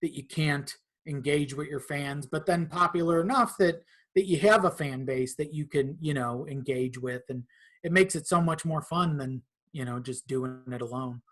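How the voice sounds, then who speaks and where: Male podcast guest, slightly high voice, informal, hesitant delivery with repeated phrases. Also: moderate pace, fairly bright tone. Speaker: podcast guest, audio drama creator. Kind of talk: casual chat.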